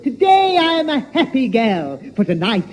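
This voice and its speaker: Shrill haughty ugly stepsister. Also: male voice, sing-song, moderate pace. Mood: haughty, bossy. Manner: haughty, theatrical. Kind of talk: 1960s radio pantomime comedy. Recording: echoey, faintly noisy 1960s radio stage.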